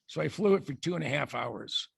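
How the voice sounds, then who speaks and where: An older man speaks with a deeply gravelly, raspy voice. He tells rambling anecdotes, stopping and restarting often. The sound is dry and close, with a slightly high pitch for a man. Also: lively intonation, a bit bright, no room sound. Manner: halting, many restarts and fillers. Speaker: guest speaker.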